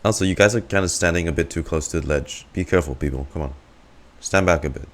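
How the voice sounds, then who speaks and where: Deep-voiced man casually reacting to a game. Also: fast talker. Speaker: game streamer. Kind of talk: casual live gameplay reaction.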